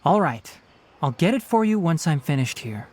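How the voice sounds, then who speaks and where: An actor voicing a young male forest ranger chief in a video game, a dry, measured, gently scolding mentor. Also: medium pitch, sing-song, slight background noise, natural voice. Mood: chiding.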